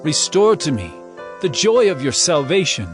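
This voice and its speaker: A man's voice: a little high, big pitch swings, normal speed. A man giving a dramatized scripture reading as an earnest, pleading prayer. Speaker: scripture narrator. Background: instrumental music.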